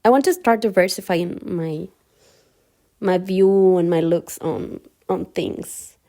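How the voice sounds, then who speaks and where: A young woman from Mexico with a Mexican Spanish accent, a native Spanish speaker, introducing herself in a casual book vlog and speaking slowly and carefully, with hesitant restarts. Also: lowish voice, vocal fry, dark tone. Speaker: new book vlogger. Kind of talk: casual first-video introduction.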